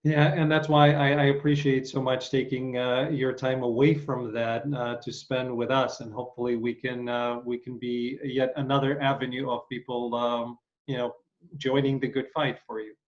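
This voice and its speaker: A male interview host whose voice is going, so he keeps clearing his throat. He sounds welcoming and rambles with lots of fillers, at an ordinary pitch. The recording is dull and lo-fi. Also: little pitch movement, normal speed. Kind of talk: casual interview chat.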